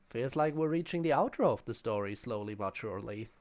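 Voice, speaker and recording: A man's voice: medium pitch, lively intonation, brisk, a little mellow. A man giving casual, wry, informal live commentary as a game streamer.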